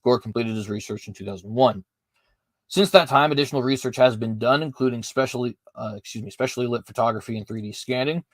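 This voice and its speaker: A man's voice: mid-range voice, fast, no room sound. A man hosting a podcast, reading prepared commentary aloud with frequent fillers and self-corrections. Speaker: podcast host. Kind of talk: apologetics commentary reading notes.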